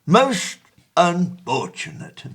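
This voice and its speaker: A man playing a fussy, bookish 1820s gentleman. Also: high voice, big pitch swings, drawn-out words, husky, heavily acted. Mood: courteous. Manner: hesitant, full of ums and uhs, fussy and grand. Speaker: actor. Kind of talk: amateur stage play audio.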